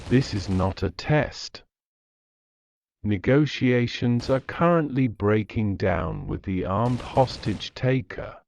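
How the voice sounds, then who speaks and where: A man reading a scripted emergency alert in a flat, formal announcer manner. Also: medium pitch, slow pace, vocal fry, a little hiss or hum.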